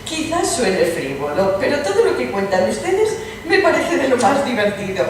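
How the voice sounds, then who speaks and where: A woman's voice: fairly high pitch, sing-song, normal speed. A woman playing a frivolous, amused princess in a radio drama, airy and formal in her speech. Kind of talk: theatrical radio drama reading. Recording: echoey hall, distant mic, background noise.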